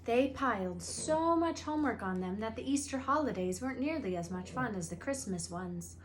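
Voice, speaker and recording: A woman reading a children's book aloud as a storyteller, with expressive narration. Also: a little high, normal speed, low-quality recording. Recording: faint background noise and ambience.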